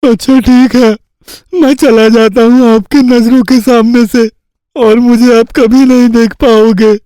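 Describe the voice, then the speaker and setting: Gullible teenage village boy for a cartoon fairy tale male actor: earnest, unhurried, mellow. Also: very high-pitched, flat delivery, no room sound. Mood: hurt. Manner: naive, slow-witted, earnest. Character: gullible simple-minded eighteen-year-old village lad, eager to please.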